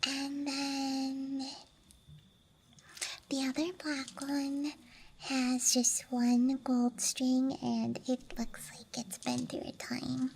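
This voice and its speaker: Slow, whispering young-sounding woman, high soft voice. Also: monotone, a little hiss or hum. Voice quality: breathy whisper, mostly unvoiced. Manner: soft, hushed, gentle rambling. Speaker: ASMR streamer. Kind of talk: whispered ASMR, rambling show-and-tell. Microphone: close microphone. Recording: night nature ambience, clicking and rattling sounds. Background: night campground ambience, dice and case clicks.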